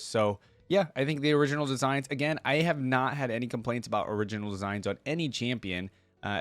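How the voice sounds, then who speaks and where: A man's voice: medium pitch, no room sound. Casual man reacting, close and dry. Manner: informal, musing, thinking aloud. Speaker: gaming reaction video host.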